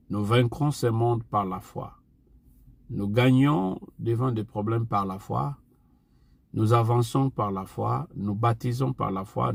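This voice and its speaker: Slow, gravelly preacher. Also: male voice, fairly low pitch. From Angola. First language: Portuguese. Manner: slow, deliberate preaching with repeated refrains. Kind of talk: online sermon.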